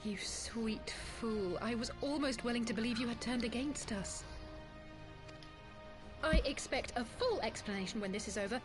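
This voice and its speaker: Actress as a high-voiced noble warrior woman. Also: music in the background, natural voice. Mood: tender reproach. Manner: formal, measured, commanding. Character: noble, resolute female warrior leader. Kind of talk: video game voice acting.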